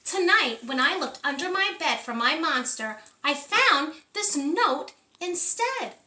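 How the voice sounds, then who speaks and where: A woman reading a storybook aloud to preschoolers, encouraging and pausing to ask young listeners questions. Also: high voice, sing-song, sharp tone. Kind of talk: animated children's storybook reading. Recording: echoey room.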